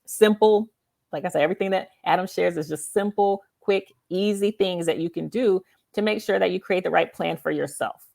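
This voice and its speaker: A woman's voice: on the low side, moderate pace, vocal fry, a little mellow. A woman hosting a conversational interview, explaining emphatically and often checking in with the listener. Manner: emphatic, frequent check-in questions like "right?". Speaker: talk show host.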